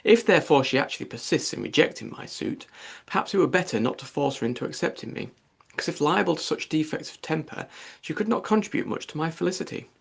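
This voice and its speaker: A man's voice: fairly high pitch, fast talker, vocal fry, breathy edge, pitched above the performer's own voice. Man playing a pompous, wordy suitor. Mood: affronted, stiff. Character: pompous, self-important young clergyman suitor.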